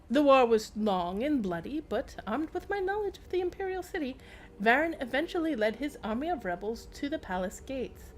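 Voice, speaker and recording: Woman reading as a pompous old empire chancellor, grand speechy delivery, highish voice, fantasy game journal. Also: slight background noise, lightly acted. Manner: pompous, declamatory. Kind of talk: dramatic read-aloud of in-game text.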